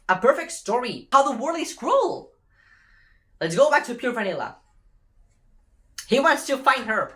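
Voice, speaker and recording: Animated, high-voiced woman ranting. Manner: sweary, sarcastic, exclamatory. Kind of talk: casual rambling rant retelling a story.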